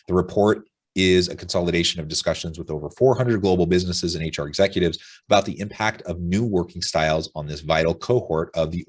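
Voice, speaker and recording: An American man with a low voice works as an interview host on a professional webinar. He sounds upbeat and enthusiastic, moving between prepared introductions and conversational questions. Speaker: podcast host. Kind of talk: webinar interview hosting, partly reading prepared notes.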